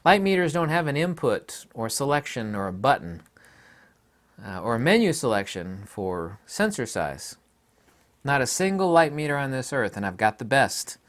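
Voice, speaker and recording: A man giving an opinionated photography vlog monologue, speaking in an emphatic, blunt and scornful way. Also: medium pitch. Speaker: photography YouTuber.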